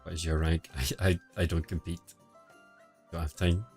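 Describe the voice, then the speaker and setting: Low-voiced man casting a mobile match. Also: moderate pace. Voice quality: quiet, low-level voice. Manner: relaxed, low-key, answers chat between play calls. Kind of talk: casual live-stream commentary. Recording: home stream audio with faint music behind. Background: faint background music.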